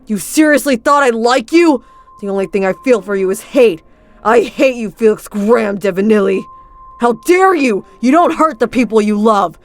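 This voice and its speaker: A woman's voice: on the low side, a little slow. A woman voicing a cold, accusing teenage boy. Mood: hateful. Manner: cool, accusing.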